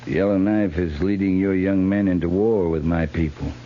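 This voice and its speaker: Deep male voice, actor as seasoned army captain, mellow and controlled, 1950s radio drama, faint hiss. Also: fairly even intonation, normal speed, natural voice. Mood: grave persuasion. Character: steady, dry cavalry captain, seasoned and persuasive. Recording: muffled, hissy 1950s radio recording.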